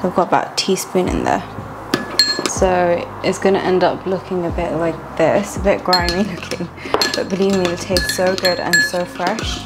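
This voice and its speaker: A woman's voice: on the low side, steady tone, normal speed, heavy background noise. A woman, chatting casually as she talks viewers through a home cooking recipe step by step. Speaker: cooking vlogger. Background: background music.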